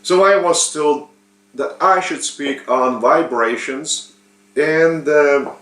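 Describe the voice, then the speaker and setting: A man from Lithuania, speaking English with a Lithuanian accent, giving an unscripted spiritual sermon with many pauses and fillers. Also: on the high side, a bit bright, echoey room, rough recording. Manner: slow, halting, with frequent pauses and fillers. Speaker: spiritual teacher.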